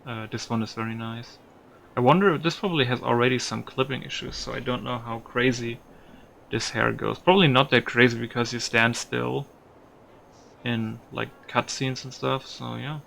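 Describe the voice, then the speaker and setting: A man's voice: medium pitch, fairly even intonation, normal speed, vocal fry, fairly bright tone, slight background noise. A man giving casual video game commentary, musing aloud with some mild swearing. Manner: musing aloud, mildly profane.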